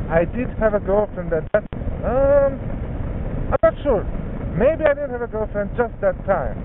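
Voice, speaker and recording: Rambling man on a tinny helmet mic. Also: sits high. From Hungary. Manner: rambling, self-correcting, conversational. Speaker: motovlogger. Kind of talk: casual storytelling monologue. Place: riding a scooter on city roads outdoors. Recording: tinny narrow-band audio with faint road noise.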